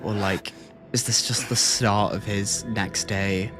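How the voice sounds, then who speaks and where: A man doing casual livestream commentary, reacting and ranking in a halting, think-aloud way. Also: lowish voice, fairly even intonation. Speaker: streamer reacting to and ranking game trailers. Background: music.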